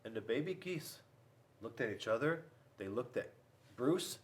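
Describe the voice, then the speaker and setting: A man reading a children's storybook aloud, with dramatic pauses and emphasis. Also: mid-range voice, expressive, distant mic. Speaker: school resource police officer reading to children.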